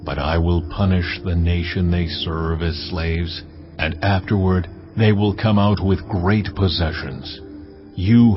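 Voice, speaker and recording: An actor voicing God in a dramatized scripture reading, solemn, deliberate and authoritative. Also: male voice, low-pitched. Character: God, a solemn, commanding, majestic male divine voice. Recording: slightly dull audio, music behind. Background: background music.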